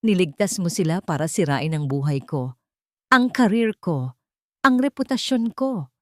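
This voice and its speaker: A woman's voice: fairly low pitch, animated, measured pace, darkish tone, no room sound, studio quality. A woman voicing a cold, vengeful wealthy woman in an audiobook, with a hard, controlled delivery. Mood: cold threatening. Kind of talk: audiobook character acting.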